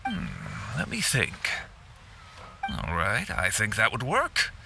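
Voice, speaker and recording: An actor playing a formal, considerate publishing manager in an anime-style game, speaking in a courteous, businesslike way. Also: male voice, medium pitch, wildly swooping pitch, slow, gravelly, noisy background, full character voice. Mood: considering.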